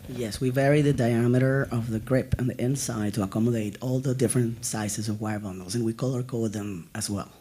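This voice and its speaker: A man's voice: medium pitch, fairly even intonation, a little fast, few pauses, warm tone, a little hiss or hum. Man with a cold fielding questions. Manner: apologetic, hesitant with fillers. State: has a cold, losing his voice. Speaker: presenter. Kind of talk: answering panel questions. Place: large conference room. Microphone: handheld mic.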